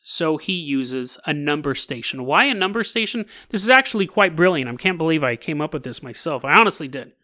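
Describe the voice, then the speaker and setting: A man hosting a podcast, telling conspiracy stories casually and jokily, with animated, drawn-out emphasis and an amused manner. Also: a little high. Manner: jokey, self-amused, chuckles through his points. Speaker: podcast host. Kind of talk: casual solo storytelling about conspiracy theories.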